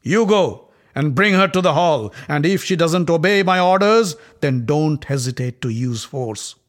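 A man voicing an impatient, arrogant prince who yells his commands. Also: a little high, expressive, a little slow, voice pushed up. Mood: commanding. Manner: commanding, yelling.

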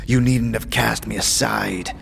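Fantasy audio drama warrior, performed by a man: stoic and formal, low voice, heavy creak, solemn delivery. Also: steady tone, noisy background. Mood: grave, restrained. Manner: formal, solemn, measured phrasing. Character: grave, stoic, self-reproaching warrior companion, a man.

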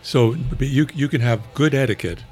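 A man's voice: mid-range voice, normal speed, darkish tone, faint music behind. Rambling middle-aged male co-host. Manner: rambling, stumbling restarts. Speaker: podcast co-host. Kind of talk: casual married-couple chat.

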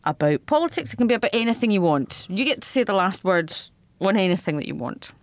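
A woman's voice: medium pitch, big pitch swings, quick pace, dry recording. A middle-aged woman with a Scottish accent hosts a casual podcast chat. She talks with dry, self-mocking wit. Her voice sits close to the mic in a dry, dead space. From Scotland. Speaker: podcast host.

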